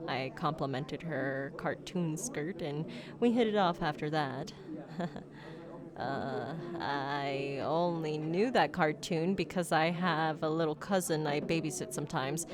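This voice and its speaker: A woman's voice: low-pitched, measured pace, crowd noise. A woman playing a shy, geeky young silver fox on a blind date, speaking politely and hesitantly in an ASMR roleplay. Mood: flustered, evasive. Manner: awkward, flustered, hesitant, politely formal. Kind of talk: ASMR roleplay, one-sided conversational acting.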